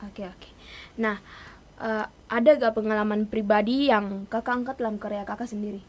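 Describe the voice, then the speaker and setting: A woman's voice: medium pitch, steady tone, normal speed, a little mellow. A young woman, hosting a casual interview in an informal, conversational way. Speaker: interviewer, host.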